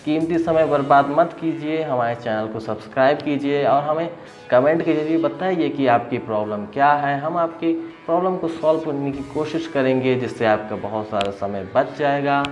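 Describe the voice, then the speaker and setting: A man's voice: mid-range voice, normal speed, muffled recording. Emphatic Indian man reviewing apps, over music. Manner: emphatic, repeats phrases for stress. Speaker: tech video host. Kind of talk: informal app review to camera.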